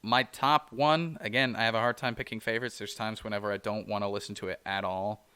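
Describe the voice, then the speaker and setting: A man with a low, smooth, resonant voice talks in a loose, rambling way, leaning on "you know" between thoughts. His tone is bright and forward. The sound is dry and close, with no room echo. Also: steady tone, a little fast. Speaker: podcast co-host. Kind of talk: casual chat.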